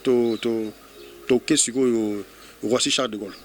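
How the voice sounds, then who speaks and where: Congolese-accented male musician guest, mid-pitched, casual French-Lingala chat with halting repetitions, faint noise behind. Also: steady tone. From Kongo Central, DR Congo.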